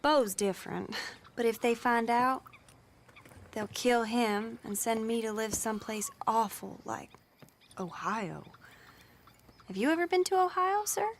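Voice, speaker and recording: An actress playing a spirited young Southern lady with a prim, breathy, giggly manner. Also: on the high side, animated, unhurried, pauses often, slight background noise. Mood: giddy.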